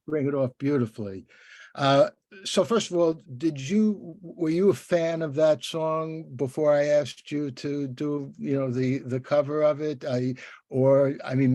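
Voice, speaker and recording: Older guy in his 70s doing an interview, soft mellow voice, dry sound, kinda rambles and restarts. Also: medium pitch, fairly even intonation, no room sound. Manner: halting, thoughtful, rambling with many restarts. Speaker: interviewer and host. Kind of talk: conversational interview.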